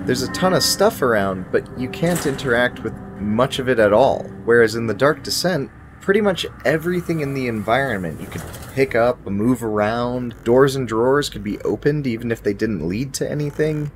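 A man's voice: mid-range voice, animated, moderate pace, few pauses, faint music behind. A man in his thirties giving a scripted, dryly humorous video game review to camera.

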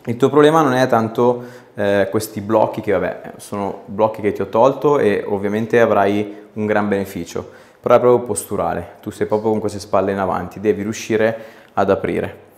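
A man's voice: fairly low pitch, fairly even intonation, moderate pace, darkish tone, echoey room. A man in his thirties working as a chiropractor, chatting casually and giving short instructions to a patient in a small treatment room. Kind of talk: casual hands-on session talk with short instructions.

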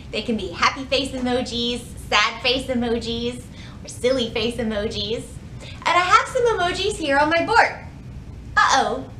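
A woman in her thirties presenting a children's storytime, with expressive, carefully phrased delivery meant for small children. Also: a little high, roomy sound, a little hiss or hum. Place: indoor library room.

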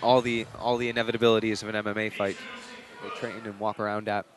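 A British man co-commentating an MMA bout, measured and analytical in his play-by-play. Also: fairly low pitch, flat delivery, over music. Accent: British English. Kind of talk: live fight commentary.

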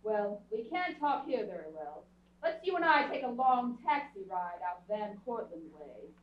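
A woman's voice: mid-range voice, very animated, measured pace. A woman playing a haughty, scheming young society sister in a staged radio comedy, cool and commanding. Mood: sly, coaxing. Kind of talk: staged old-time radio comedy acting. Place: indoor theatre stage, distant camera. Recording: distant, echoey, dull theatre stage recording.

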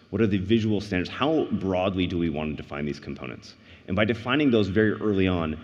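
A man in his thirties with a low voice gives a tech keynote from a big stage, earnest and explanatory, lifting his voice on key points. His headset mic carries a long hall reverb, and the livestream sound is a little dull. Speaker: conference keynote speaker. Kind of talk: tech conference keynote talk. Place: large conference stage.

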